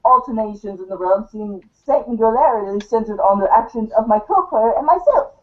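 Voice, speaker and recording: A woman voicing a verbose, coolly sardonic teenage girl in a stilted, halting reading of a webcomic script. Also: on the high side, animated, lightly acted. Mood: pompous, musing. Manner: stilted, wordy, halting over long words. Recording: dull lo-fi home recording.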